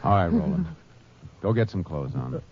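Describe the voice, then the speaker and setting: Deep laconic Ranger, 1950s radio drama, noisy dull old recording. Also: male voice, moderate pace. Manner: laconic and even-tempered. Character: calm, steady male Texas Ranger lawman.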